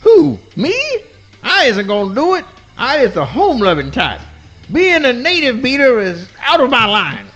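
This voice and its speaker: A performer playing a gentle, timid male possum with innocent, folksy Southern swamp dialect in a live comic radio play. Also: mid-range voice, big pitch swings, moderate pace, lo-fi sound, faint music behind. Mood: reluctant.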